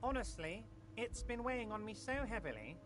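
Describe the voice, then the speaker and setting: A woman's voice: medium pitch, big pitch swings, fairly quick, close to an everyday voice. A woman voicing a smug, talkative large male union boss with an oily, reassuring manner. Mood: eager. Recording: music playing behind.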